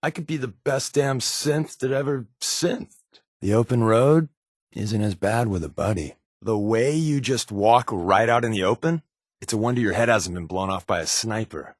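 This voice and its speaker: A man's voice: fairly low pitch, normal speed, no room sound, studio quality, close to an everyday voice. A man playing a wisecracking, secretive undercover agent in a video game, with a wry, glib, conversational delivery. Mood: playful, wry. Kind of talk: video game companion dialogue.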